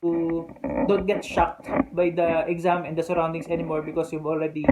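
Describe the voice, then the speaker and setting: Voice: mid-range voice, monotone, a little fast. A native Filipino speaker with a Filipino accent, casually explaining practical test tips to the camera. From Philippines. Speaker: vlogger giving test tips. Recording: clear background noise.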